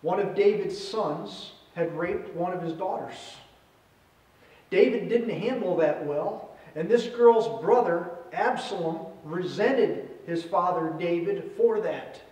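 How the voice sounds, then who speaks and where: Middle-aged man as preacher: high-set voice, short measured phrases, roomy church sound. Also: a little mellow. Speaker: pastor. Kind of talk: sermon with scripture reading. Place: indoors at a church pulpit. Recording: roomy echo, distant microphone.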